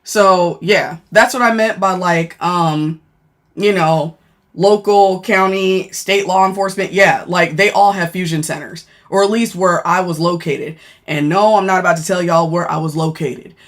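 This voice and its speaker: Blunt deep-voiced woman on a livestream. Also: fairly even intonation, a little slow. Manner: blunt, frank, thanks viewers mid-sentence. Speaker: livestreamer and former law enforcement worker. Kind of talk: casual live-stream chat reading comments.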